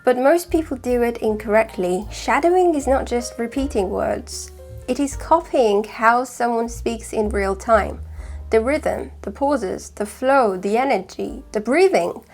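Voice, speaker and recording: Farsi-native woman with a British accent. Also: fairly high pitch. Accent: British with slight northern English influence. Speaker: language-learning video host. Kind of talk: scripted talk to camera.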